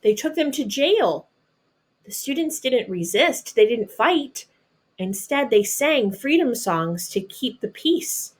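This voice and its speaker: A woman reads a children's picture book aloud, deliberately, adding simple explanations in her own words as if talking to children. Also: a little high, sing-song. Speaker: storybook reader.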